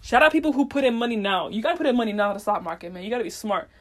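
A woman's voice: fairly high pitch, very fast. A woman talking off the cuff as a podcast host in a casual, slangy monologue, swearing freely and meandering as she thinks aloud. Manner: slangy, heavy swearing, unfiltered.

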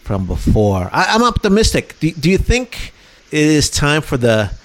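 A man's voice: a little high, wildly swooping pitch, normal speed. Eager male radio co-host. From Chicago. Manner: enthusiastic, repeats words when starting sentences. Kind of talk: radio interview, asking questions.

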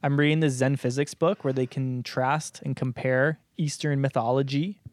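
A man's voice: medium pitch, fairly even intonation, moderate pace, a little mellow, dry recording, clean studio sound. A young man from Canada co-hosting a podcast, chatting casually, swearing often and laughing along. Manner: laid-back, swears a lot, laughs often. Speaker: podcast co-host. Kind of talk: casual chat.

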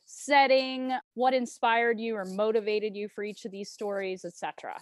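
A woman's voice: medium pitch, fairly even intonation, moderate pace, dry recording. A woman hosting a podcast, asking questions in a conversational interviewing style.

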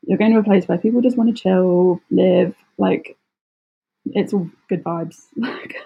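A British woman speaking casually as an interview guest, chatty and expressive, often acting out little quotes. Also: on the low side, measured pace, gravelly, husky, lo-fi sound. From United Kingdom. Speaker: podcast guest, travel blogger.

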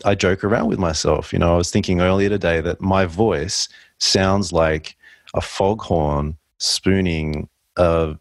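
A man with a deep voice hosting a podcast interview, talking thoughtfully and rambling with frequent restarts. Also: fairly even intonation, measured pace, creaky, no echo. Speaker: podcast host. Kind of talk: casual interview conversation.

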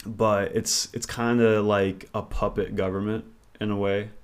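A man's voice: on the low side, little pitch movement, unhurried. A man, talking as an interview guest in a rambling, reflective way. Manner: rambling and reflective, thinks aloud. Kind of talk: casual interview conversation.

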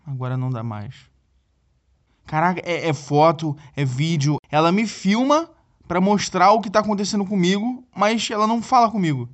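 A man's voice: high voice, normal speed, natural voice. An actor playing a needy, flustered young Brazilian boyfriend in a comedic audio drama, stammering and repeating himself as he pleads for help. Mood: pleading, then exasperated. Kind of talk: comedic audio drama, one side of a phone call.

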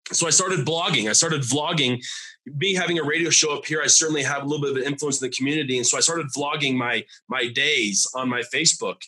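A man in his thirties from Arizona, telling his recovery testimony as a podcast guest. He talks haltingly and often repeats and restarts his words. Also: on the high side, sharp tone, husky, low-quality recording. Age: 30s.

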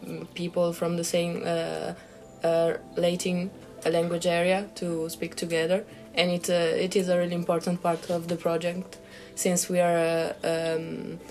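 A young woman with a rather low, very flat voice. She speaks hesitantly, dropping in many "uh"s. Faint music plays behind her. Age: young adult. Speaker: master's student. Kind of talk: casual self-introduction.

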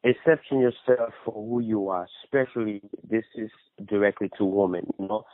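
A man's voice: lowish voice, moderate pace, dry recording. A man from Haiti with a Haitian accent, giving casual fitness advice as a personal trainer in an interview, repeating phrases and often adding "you know". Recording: tinny phone-like radio line.

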